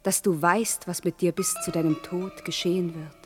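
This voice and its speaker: An actress as insistent temptress with faint music. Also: female voice, lowish voice, steady tone, moderate pace, close to an everyday voice. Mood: reproachful, coaxing. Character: seductive, urgent temptress of adventure and freedom. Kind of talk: theatrical German radio play dialogue.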